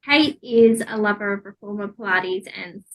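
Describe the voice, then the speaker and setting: A woman's voice: mid-range voice, moderate pace, a bit bright, low-quality recording. A woman with an Australian accent, speaking as a podcast host in a friendly interview style. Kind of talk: friendly interview with a scripted introduction.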